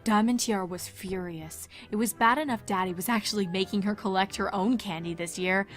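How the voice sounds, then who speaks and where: A woman with a mid-pitched voice narrates a fan fiction story expressively. Soft music runs behind her reading. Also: fairly quick.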